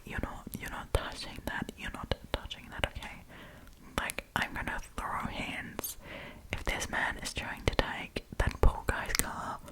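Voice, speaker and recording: Casting: female ASMR storytime host, full whisper throughout, playful and casual delivery with slangy asides. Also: rough recording. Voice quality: breathy whisper.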